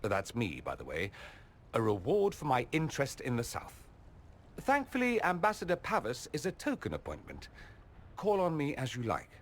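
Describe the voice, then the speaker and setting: A man's voice: medium pitch, huge pitch swings, moderate pace, slight background noise. A man playing a witty, theatrical mage ambassador in a video game, arch, eloquent and affectionate. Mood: wry, playful. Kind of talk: video game cutscene acting.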